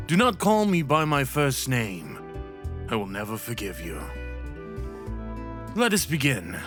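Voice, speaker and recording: A man's voice: medium pitch, fairly bright tone, voice pushed up. A man playing a stern, wry, pompous male professor in a fantasy audio roleplay, lecturing in a haughty, theatrical way. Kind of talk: ASMR roleplay acting.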